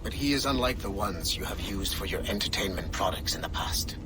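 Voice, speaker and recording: An actor playing a formal, calculating male mastermind in a video game, speaking with measured authority. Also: low voice, expressive, low-quality recording. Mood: solemn, persuasive. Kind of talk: dramatic game dialogue.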